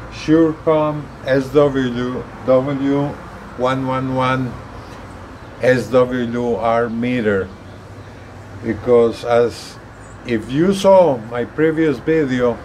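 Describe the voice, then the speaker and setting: A man in his sixties talks slowly and casually, explaining things to viewers. He stops and repeats words as he goes. He is recorded outside on a balcony, with faint ambient noise behind him. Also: medium pitch. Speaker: gadget reviewer. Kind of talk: casual explanatory vlog talk. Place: outdoors on an apartment balcony. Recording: steady outdoor ambient noise.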